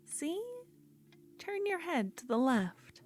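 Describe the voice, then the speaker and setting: A woman playing an obsessive, menacing yet tender duelist rival, bitterly infatuated, in an intimate, halting ASMR fantasy roleplay. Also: sits high, very animated, a little mellow, slight background noise, full character voice. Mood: soothing, possessive.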